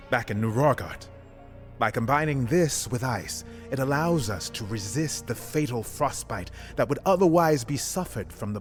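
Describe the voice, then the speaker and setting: A careful scholar of rituals, played by a man, explains things in a measured manner. The medium-pitched voice comes from a fantasy video game, with soft music behind. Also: close to an everyday voice. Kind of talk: video game cutscene acting.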